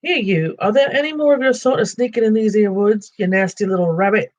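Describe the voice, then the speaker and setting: A man's voice: high-pitched, a little fast, a little mellow, pitched above the performer's own voice, lightly acted. A man doing a mean, quarrelsome troll. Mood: menacing. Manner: rough slangy threatening talk. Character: quarrelsome brutish male troll, mean and suspicious.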